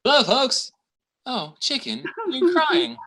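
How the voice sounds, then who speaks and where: A man playing a smooth-talking, freeloading boyfriend with a breezy, wheedling manner in a 1950s-style radio comedy. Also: very high-pitched, big pitch swings, takes it slowly, noisy background, exaggerated voice. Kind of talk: 1950s radio sitcom acting.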